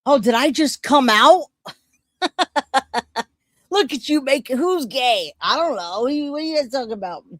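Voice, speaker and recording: A woman in her forties hosting a livestream, chatting casually with viewers in an animated, joking, slangy way. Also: sits high, normal speed, long pauses, no room sound. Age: 40s. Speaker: livestream host reacting to news.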